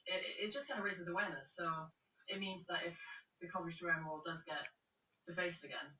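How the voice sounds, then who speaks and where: Welsh campaigner guy, earnest, kinda halting, super bright voice, echoey and far. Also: fairly high pitch, steady tone, a little hiss or hum. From Wales. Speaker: independence campaigner. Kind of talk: documentary interview.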